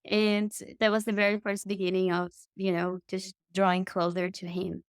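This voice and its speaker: A woman from Brazil speaks English with a Brazilian Portuguese accent, warm and enthusiastic as she tells her story to an interviewer. Her pitch sits in the middle range. The room sounds dry and close to the mic. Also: flat delivery, moderate pace, smooth, no echo. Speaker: guest, marketing consultant.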